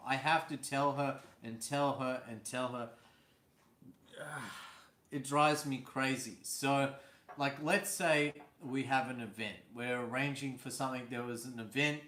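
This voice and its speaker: A man's voice: medium pitch, a bit bright, reverberant. A man hosting a casual live stream from home, chatty and teasing, laughing easily. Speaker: vlog host. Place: indoors at home.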